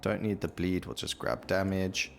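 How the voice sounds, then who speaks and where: A man with a low-pitched voice gives casual gameplay commentary over music. He thinks aloud, talking through each choice as he plays. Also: lively intonation, moderate pace, vocal fry. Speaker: gaming YouTuber. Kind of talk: casual video game commentary.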